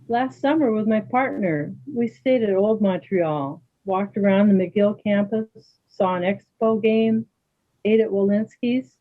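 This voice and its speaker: A woman playing a calm, kind, sporty Canadian woman in a readers theater dialogue. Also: medium pitch, a little slow, lightly acted. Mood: friendly, matter-of-fact. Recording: thin phone-like sound.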